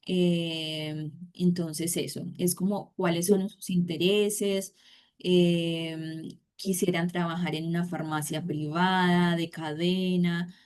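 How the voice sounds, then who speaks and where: A woman's voice: on the low side, flat delivery, slow, a little mellow, clear, resonant tone. A woman teaching an online class, talking conversationally with many fillers and tag questions. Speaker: course instructor.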